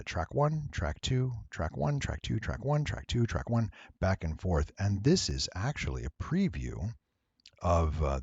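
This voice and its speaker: Casting: male tutorial host, low and husky, slightly nasal, unhurried and playful, dry close recording. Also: darkish tone, no echo, dull audio. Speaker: voice actor giving a software tutorial. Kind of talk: casual screen-recorded tutorial.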